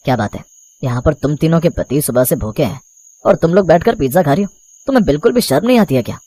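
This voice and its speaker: An actor playing an exasperated, pleading young husband in an animated moral story, speaking in an emphatic, complaining way. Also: male voice, fairly high pitch, fast talker, no echo.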